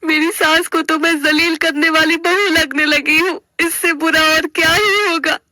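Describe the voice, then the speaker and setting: A woman's voice: extremely high pitch, steady tone, measured pace, pushed and bright, no room sound, heavily acted. A woman playing a young, earnest daughter-in-law in a family story, pleading and emotional. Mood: worried.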